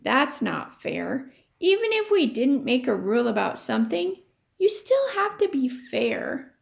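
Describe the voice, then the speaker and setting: A woman's voice: high voice, very animated, measured pace, gravelly, exaggerated voice. A woman voicing a stubborn, indignant young boy who protests emphatically in a children's storybook. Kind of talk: children's storybook read aloud.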